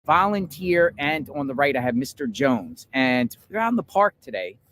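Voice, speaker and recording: A man's voice: a little high, very animated, normal speed, a bit bright, slight background noise. A man conducting an interview on location out in a park. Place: outdoors in a park.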